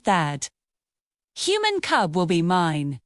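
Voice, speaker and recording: A woman voicing a fierce, hateful male tiger villain in a children's storybook cartoon, speaking in a low growl and hiss. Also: huge pitch swings, slow pace, a bit bright, dry recording, studio quality, full character voice. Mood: menacing.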